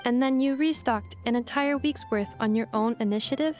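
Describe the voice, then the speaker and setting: A woman voicing a calm, strict robot caretaker in a video game, with a formal, measured, matter-of-fact delivery. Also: fairly high pitch, over music, natural voice. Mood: strict, precise.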